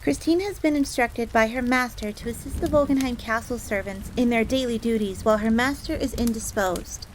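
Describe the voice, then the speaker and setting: Casting: prim tsundere fox maid, played by a woman, higher-pitched, formal, jealous and devoted servant, audio roleplay with rain behind. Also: brisk, hardly any pauses, natural voice, low-quality recording. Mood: prim, haughty. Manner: formal, stiff, speaks of herself in third person. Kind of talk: scripted audio roleplay acting. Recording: steady rain noise in background. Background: rain ambience.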